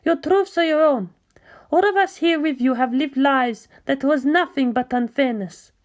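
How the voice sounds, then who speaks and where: A woman plays a devoted young female follower in an audio story. Her voice is high, and she speaks in measured, declarative lines. She is coolly zealous, with bitterness and disdain in her manner. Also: close to an everyday voice. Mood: devoted, assured. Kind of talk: scripted audio drama reading.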